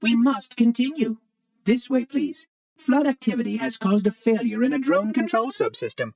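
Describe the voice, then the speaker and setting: A man's voice: extremely high pitch, animated, normal speed, gravelly, a little mellow, clean tone, no room sound, studio quality, distant mic, full character voice. A man playing a prim, fussy, ancient robot monitor in a video game, speaking in a formal, precise, oddly cheery way with light robotic processing. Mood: polite, brisk. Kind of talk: video game character acting.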